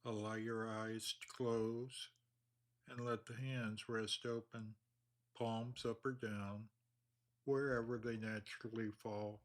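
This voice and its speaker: A man leading a guided meditation, speaking slowly and calmly with long pauses. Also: fairly low pitch. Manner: slow, calm, measured delivery with long pauses.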